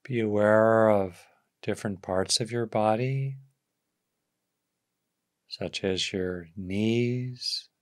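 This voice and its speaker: A man leading a guided meditation as a teacher, giving gentle instructions with long pauses between phrases. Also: on the low side.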